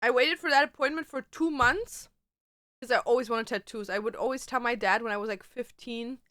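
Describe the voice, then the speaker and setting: Young woman streamer, tattoo artist, higher bright voice, dry close sound, loose and chatty. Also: brisk, no echo. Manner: chatty, loose, peppered with "like" and "dude". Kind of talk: casual chat answering viewer questions.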